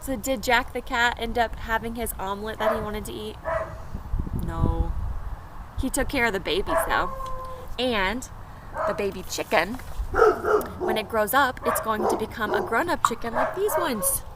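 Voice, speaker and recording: A woman's voice: a little high, moderate pace, pauses often. A woman teacher doing a storybook read-aloud and chatting with small children in simple phrases and questions. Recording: clear background noise.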